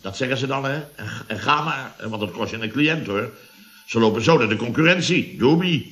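A man's voice: mid-range voice, expressive, a little fast, fairly bright tone, lightly acted. An actor playing a blustery, self-pitying middle-aged building contractor in a radio comedy, flustered, stammering and repeating himself as he complains.

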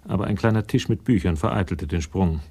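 A man with a gravelly voice reading scripted literary narration for a German radio crime drama. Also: sits low, brisk, dark tone.

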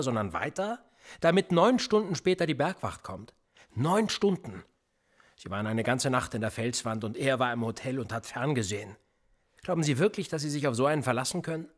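An actor playing a calm, manipulative male police interrogator in a radio play, pressing with pointed questions. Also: mid-range voice, moderate pace, close to an everyday voice. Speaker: police officer.

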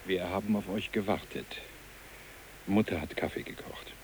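An old rural man in a radio drama. His voice is deep, and he is hospitable yet brusque and plain-spoken. A faint hiss lies over the tape. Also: moderate pace. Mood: welcoming. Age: older. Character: kindly, blunt old country man in Sweden.

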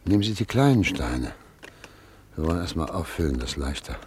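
An actor playing a tense, desperate husband in a prisoners' camp in a radio play, talking in an urgent, pressing way. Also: male voice, extremely low pitch, sing-song, fairly quick, a little mellow, slight background noise. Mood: urging.

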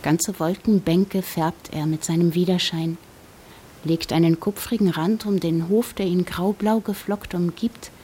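An actress playing a contemplative, sceptical woman gazing at the moon in a literary radio monologue, speaking in a measured, musing way. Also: on the low side, fairly even intonation, warm tone, slight background noise, close to an everyday voice. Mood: quietly musing.

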